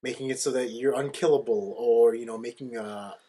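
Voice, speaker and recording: A man co-hosting a podcast, talking informally and explaining things in a halting way. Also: medium pitch, fairly even intonation, warm tone, husky. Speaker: podcast co-host. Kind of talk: casual chat.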